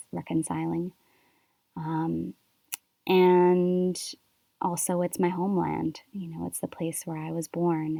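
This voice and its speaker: A woman from Canada hosting her own podcast, speaking softly and thoughtfully in a reflective solo monologue with unhurried pauses. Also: deep voice, vocal fry, dry recording. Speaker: podcast host.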